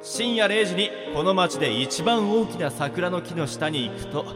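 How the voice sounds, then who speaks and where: A man's voice: high-pitched, animated, a little slow. A man playing a young, gossipy male friend in a radio drama, speaking casually and confidingly, as if teasing. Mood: secretive, intrigued. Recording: echoey, long reverb, music behind. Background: music.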